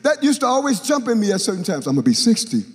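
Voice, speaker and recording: A man's voice: high voice, very fast, faint music behind. An older man, a bishop, preaching a sermon on a church stage into a handheld mic, acting out Bible characters and joking with the congregation. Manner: animated, acts out Bible characters, jokes with the congregation. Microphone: handheld microphone. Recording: long reverb of a large church hall.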